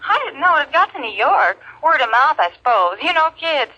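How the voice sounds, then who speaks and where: Chatty 1950s housewife, high bright voice, animated pitch, breezy, gossipy, radio drama. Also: female voice, moderate pace, dull audio, heavily acted. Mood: chatty, amused.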